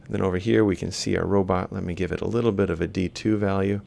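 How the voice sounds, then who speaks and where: Very creaky, low-voiced man lecturing. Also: steady tone, fast talker, darkish tone, a little hiss or hum. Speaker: university robotics lecturer. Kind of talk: informal step-by-step worked-example lecture. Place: screen-recorded lecture voice-over.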